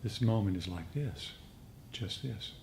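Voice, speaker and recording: Man, low-pitched, meditation teacher, reflective talk, unhurried repeated phrases, slightly noisy live recording. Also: animated, vocal fry, darkish tone. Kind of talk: reflective dharma talk and group discussion. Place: group meditation meeting.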